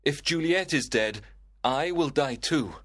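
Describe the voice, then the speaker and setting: A man playing a desperate, grief-stricken young lover in a dramatised audiobook, speaking deliberately and dramatically. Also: on the high side, lively intonation, a bit bright. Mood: grimly resolved. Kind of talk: dramatised graded-reader audiobook.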